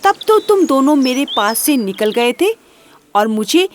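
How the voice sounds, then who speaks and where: Woman voicing a high-pitched magical talking dog. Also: big pitch swings, a little slow, slight background noise. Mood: reproachful. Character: magical talking male pet dog, upright and reproachful.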